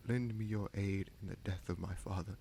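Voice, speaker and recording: A man acts out a bitter, commanding prince in a fantasy audio roleplay. His voice sits low with a heavy creak, and he speaks with deliberate, sardonic menace and dramatic pauses. Faint noise and ambience sit behind him. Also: steady tone, mellow. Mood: mocking. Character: bitter, scheming bastard prince commanding a captive dragon.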